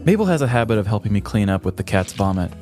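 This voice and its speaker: A man's voice: lowish voice, sing-song, fast talker, darkish tone. A man podcast host reading a scripted, wry comedic true-crime story. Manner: wry, crude descriptive humor. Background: background music.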